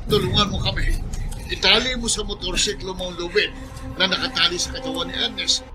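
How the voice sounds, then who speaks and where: Actor as high-voiced, take-charge older man. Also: steady tone, a little fast, over music, lightly acted. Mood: urgent, directing. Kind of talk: radio drama acting.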